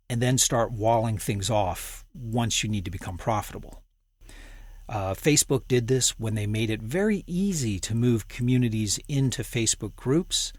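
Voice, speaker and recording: A man's voice: medium pitch, no room sound. A man hosting a podcast, interviewing a guest and then commenting on his own in a conversational, thinking-aloud way. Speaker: podcast host.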